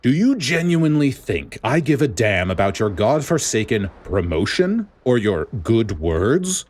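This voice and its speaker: A man's voice: mid-range voice, voice pushed up. A man voicing a stern, furious military officer who speaks with snarling, emphatic contempt in an audio story.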